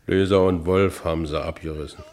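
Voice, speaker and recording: A man's voice: deep voice, fairly even intonation, fairly quick, darkish tone, natural voice. A man playing a down-and-out middle-aged ex-convict in a radio drama, brooding and defiant, muttering to himself with sudden outbursts. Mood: wry musing. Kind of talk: radio drama acting, first-person narration.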